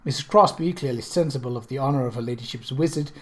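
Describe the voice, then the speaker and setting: A man reading a period mystery novel aloud as scripted narration, with measured storybook phrasing. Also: mid-range voice. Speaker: audiobook narrator.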